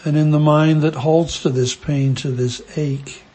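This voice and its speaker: Guy doing guided meditation, really slow n gentle, very breathy husky voice, lots of long pauses. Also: on the high side, steady tone. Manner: gentle, hushed delivery with long pauses between short phrases.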